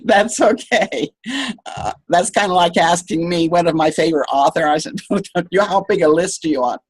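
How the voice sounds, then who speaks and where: A woman's voice: lowish voice, moderate pace, slightly rough, dry recording. A woman hosting an author interview, asking questions with short friendly reactions. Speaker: interview host.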